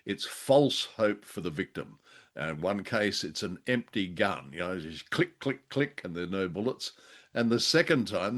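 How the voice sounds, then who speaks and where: A man with a fairly low voice chats as a show co-host. He talks in a hesitant, searching way with a dry, wry sense of humour. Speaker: podcast co-host. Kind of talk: conversational discussion of old radio drama.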